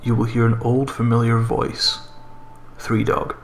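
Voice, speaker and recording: A man narrating a scripted horror story in a measured, deliberate way, as a creepypasta narrator. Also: medium pitch, monotone, a little hiss or hum. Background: background music.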